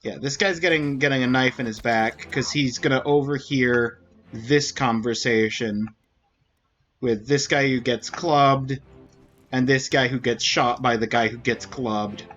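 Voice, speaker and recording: Casting: male streamer, relaxed gameplay commentary, unhurried musing delivery, muffled lo-fi mic. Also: mid-range voice. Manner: thinking aloud, reasoning through clues.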